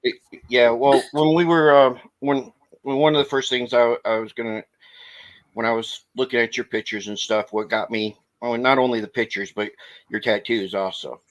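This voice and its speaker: A man in his forties co-hosts a casual interview. He speaks haltingly and often restarts his sentences mid-thought. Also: medium pitch, steady tone, poor audio. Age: 40s. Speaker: co-host.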